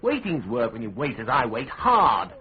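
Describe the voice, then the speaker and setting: A man's voice: mid-range voice, huge pitch swings, moderate pace, husky, full character voice. An actor playing a needy, cynical young man in a radio drama, with a hesitant, stammering manner. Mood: aggrieved, bitter. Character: needy, cynical, ambitious young male office-seeker. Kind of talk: British radio drama acting. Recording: tinny band-limited radio recording.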